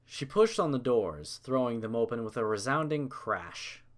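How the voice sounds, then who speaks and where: Man, scripted audiobook narration, mid-pitched, lively intonation. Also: normal speed.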